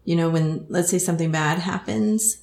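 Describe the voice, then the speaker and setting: A woman's voice: lowish voice, normal speed, creaky, darkish tone. Texan woman explaining hypnosis, roomy sound. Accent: Texas. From Texas. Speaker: hypnotist and podcast host. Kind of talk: explanatory interview. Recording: roomy echo.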